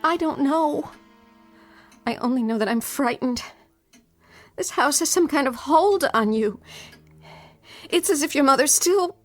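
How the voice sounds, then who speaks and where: An intense, high-voiced desperate fiancée. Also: female voice, lively intonation, faint music behind. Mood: pleading. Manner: intense, dramatic pleading with drawn-out phrases. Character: determined, frightened long-waiting fiancée, pleading then defiant. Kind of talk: radio drama acting.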